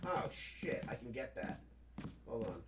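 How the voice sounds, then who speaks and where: Raspy, nasal New York man. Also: mid-range voice, sing-song, measured pace, noisy background. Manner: rambling, chatty, full of fillers. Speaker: game streamer. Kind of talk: casual gameplay commentary.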